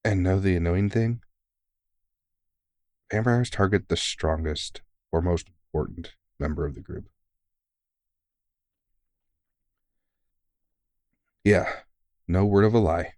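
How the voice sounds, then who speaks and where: Man playing a deep-voiced, weary veteran vampire hunter. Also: a little slow, pauses often, dry recording, studio quality. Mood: somber, earnest. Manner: reassuring, gently teasing, informal, sweary. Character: kindly, weary veteran male vampire hunter mentoring a rookie. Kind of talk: intimate ASMR audio roleplay.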